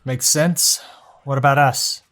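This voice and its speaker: An actor playing a subdued, principled police subordinate in an audio drama, hesitant and deferential. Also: male voice, medium pitch, steady tone, slow, a bit bright. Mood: measured.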